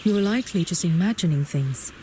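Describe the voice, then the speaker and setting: A mellow, lowish woman-acted game proprietress, poised and gracious. She speaks formally and keeps herself guarded, with faint noise behind her. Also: expressive, natural voice, poor audio. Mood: calm, dismissive. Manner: formal, measured, reserved. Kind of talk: video game character voice acting.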